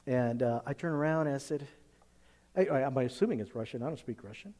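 A man, a pastor, tells a personal story in a conversational, halting sermon style, often acting out the dialogue. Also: mid-range voice, animated, mellow. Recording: echoey hall with long reverb.

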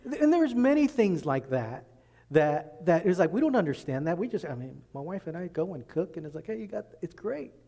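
A man preaching a sermon as pastor, working through scripture and acting out quoted speech in lively voices. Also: high voice, normal speed, gravelly. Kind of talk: expository sermon. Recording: long reverb in a large hall.

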